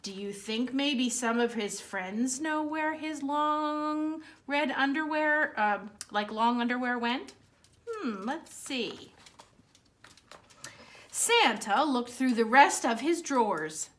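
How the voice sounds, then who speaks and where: A woman reading a children's picture book aloud as a storytime host, with expressive, dramatic emphasis and a way of speaking directly to young listeners. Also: sits high, slow.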